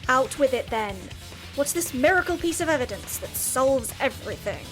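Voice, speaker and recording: A woman's voice: sits high, animated, moderate pace, soft background music. An actress playing a blunt, impatient young woman with a curt, dry manner in an audio drama. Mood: sardonic, impatient.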